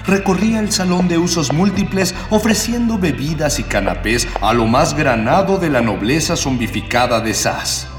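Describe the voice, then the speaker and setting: A man narrating a radio serial in a florid, pompous, declamatory mock-epic style. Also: mid-range voice. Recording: long echoing reverb, music behind.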